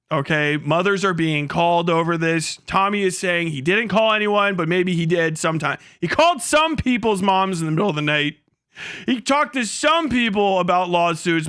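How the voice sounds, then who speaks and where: Male streamer, high bright voice, casual rambling commentary, amused and profane, close dry sound. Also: clear, resonant tone, no room sound. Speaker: live streamer, host of the channel. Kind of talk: casual livestream commentary with reading aloud.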